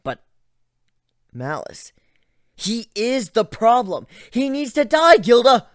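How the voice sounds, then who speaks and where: A reader voicing a traumatized, frightened and angry griffin in a dramatic story reading, halting and overwrought. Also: medium pitch, very animated, no echo, studio quality, pitched above the performer's own voice. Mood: insistent, angry. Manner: overwrought, halting, swinging from hushed to shouting. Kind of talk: dramatic reading of fan fiction.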